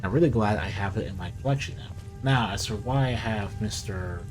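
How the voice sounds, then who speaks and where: A man's voice: on the low side, fairly quick, a little mellow, poor audio. A man giving casual gameplay commentary as the host of a gaming video. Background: game music.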